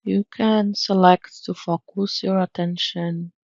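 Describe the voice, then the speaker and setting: A woman narrating a guided meditation in a soothing, gentle, measured manner. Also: on the low side, steady tone, no room sound, dull audio.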